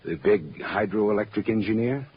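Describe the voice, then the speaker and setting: An actor playing a wry, hardboiled American private eye in an old radio drama, cool and sardonic, on a muffled, noisy recording. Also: male voice, on the low side, animated, moderate pace, close to an everyday voice. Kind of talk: mid-century radio drama acting.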